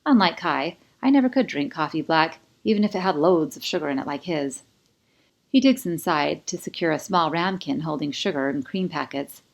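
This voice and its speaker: Low-toned woman narrating romantic fiction. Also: lively intonation, normal speed, gravelly. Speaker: author reading own audiobook. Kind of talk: scripted first-person fiction narration.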